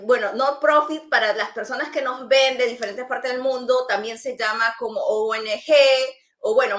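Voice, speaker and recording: A woman hosts a business talk show in a bright, fairly high voice. Her delivery is friendly and warm, though she restarts phrases and fills pauses with "eh" and "em" as she introduces and questions her guest. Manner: friendly, with hesitant restarts and fillers. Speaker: host.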